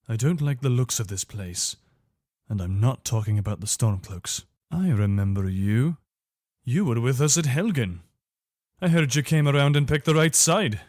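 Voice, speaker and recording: A man's voice: medium pitch, darkish tone, no echo, studio quality, lightly acted. A man playing a loyal, wary soldier of an imperial legion in a video game, speaking in an earnest, soldierly manner. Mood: friendly. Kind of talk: video game character voice lines.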